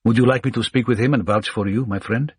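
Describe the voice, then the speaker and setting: A man voicing a blind older male Egyptian scholar who is wise and witty, speaking in courteous, measured, formal phrases. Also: medium pitch, fairly even intonation, a little mellow, husky, dry recording, close to an everyday voice. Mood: calm.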